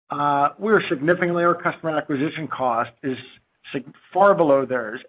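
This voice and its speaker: Halting, higher-pitched man in muffled audio. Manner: halting, digressive, informal. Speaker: company chief executive. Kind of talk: unscripted earnings call presentation. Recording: dull lo-fi conference call audio.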